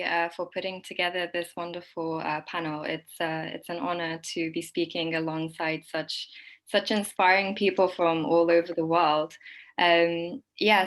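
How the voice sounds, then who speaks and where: A woman raised in the UK speaks on an online panel in a somewhat low voice. She talks thoughtfully and at a measured pace, with occasional "um"s as she gathers her points. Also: a bit bright. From United Kingdom. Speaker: documentary filmmaker. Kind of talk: panel talk.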